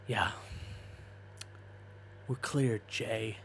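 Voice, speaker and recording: A man's voice: fairly low pitch, fairly even intonation, extremely slow, creaky, a bit bright, a little hiss or hum, heavily acted. A man playing a casual, stubborn employee in an audio roleplay, hesitant and mumbly.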